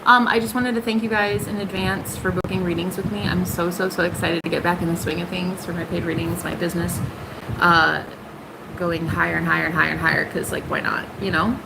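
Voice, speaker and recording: A young woman hosting a casual tarot livestream, sassy and emphatic, jumping high in pitch for punchlines. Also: little pitch movement, brisk, gravelly, heavy background noise.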